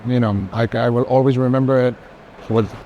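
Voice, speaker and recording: A man's voice: medium pitch, steady tone, a little fast, dark tone, dull audio. A man speaking casually as a guest collector, effusive and emphatic, repeating words for stress. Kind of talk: casual unscripted conversation. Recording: faint urban background noise.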